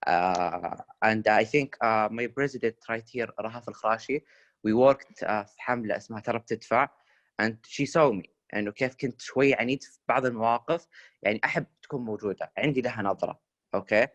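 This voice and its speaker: A young man of nineteen with a Saudi Arabic accent speaks on a video call, very fast and a little low in pitch. He hops between Arabic and English and fills gaps with "uh". The sound is close and dry. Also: a bit bright, no echo, dull audio. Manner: fast code-switching between Arabic and English, many fillers. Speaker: student guest asking a question.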